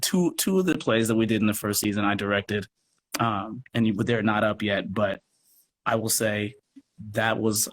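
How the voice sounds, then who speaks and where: A man chatting casually as an interview guest, expressive and thinking aloud as he explains his work. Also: on the low side, moderate pace, poor audio. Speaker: radio play producer and guest. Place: remote live video chat.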